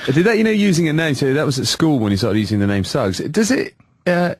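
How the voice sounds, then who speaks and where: A man's voice: on the high side, very animated, fast, no echo, studio quality. A man interviewing a guest on the radio, asking probing questions. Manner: probing questions, brief backchannels.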